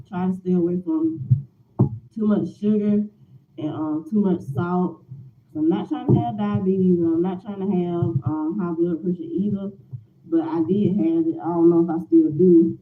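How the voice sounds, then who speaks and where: A woman's voice: lowish voice, a little slow, reverberant, narrow, tinny audio, noisy background. A woman in her forties, a lifestyle vlogger chatting casually to viewers, rambling and repeating herself with many filler words. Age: 40s. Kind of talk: casual morning chat to viewers.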